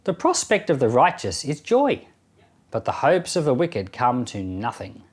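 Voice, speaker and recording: Guy pastor reading bible verses, animated swoopy voice, mid pitch, chatty. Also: measured pace. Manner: casual explaining with fillers and restarts; emphatic verse-by-verse scripture reading.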